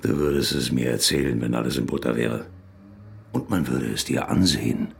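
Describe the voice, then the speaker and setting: An actor playing a sly, needling criminal in a radio crime drama, with a gravelly, husky voice. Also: male voice, very deep voice, moderate pace, exaggerated voice. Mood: mocking. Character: sly, taunting criminal acquaintance, male, heroin supplier.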